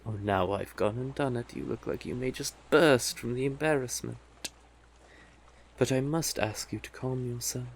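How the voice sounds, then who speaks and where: A man's voice: medium pitch, normal speed, close to an everyday voice. A man playing a seductive, manipulative male sea witch in a hypnotic audio roleplay, doting and teasing in a soothing, coaxing way.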